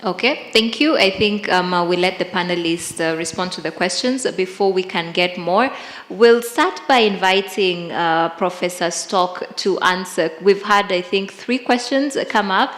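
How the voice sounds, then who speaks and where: A woman's voice: lowish voice, a little slow, fairly bright tone. Woman moderator, very echoey hall. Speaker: panel moderator. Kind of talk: directing a live question session. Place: large conference hall, public address.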